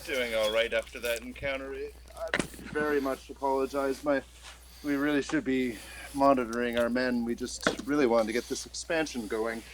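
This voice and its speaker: A man's voice: medium pitch, steady tone, moderate pace, background noise, lightly acted. A man voicing a flirtatious general in a tabletop game, drawling apologies and coaxing with endearments. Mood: smooth, apologetic. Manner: drawling, coaxing, calls listener darling.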